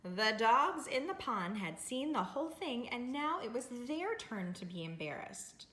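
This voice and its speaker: A woman's voice: fairly high pitch, big pitch swings, unhurried, sharp tone. A woman reading a children's picture book aloud as a storytime host, with dramatic delivery and friendly asides to young listeners. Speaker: library storytime reader. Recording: roomy, echoing indoor recording.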